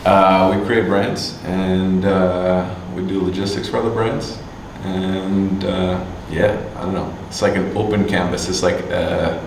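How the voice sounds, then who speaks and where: A man from Canada answering interview questions casually, halting with many fillers and restarts. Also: low-pitched, flat delivery. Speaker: musician and agency owner. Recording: distant mic, echoey room, faint hum.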